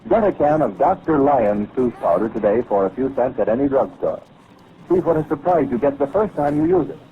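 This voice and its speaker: A man's voice: mid-range voice, expressive, a little fast, a little hiss or hum. A man announcing a commercial in an old-time radio style. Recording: tinny, narrow-band old radio.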